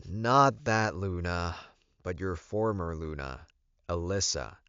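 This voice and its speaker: A man's voice: sits low, slow pace, a bit bright, smooth, no echo, heavily acted. A man voicing a commanding, short-tempered male werewolf alpha in an audiobook, emphatic and authoritative. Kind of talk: audiobook character voice. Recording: muffled, dull recording.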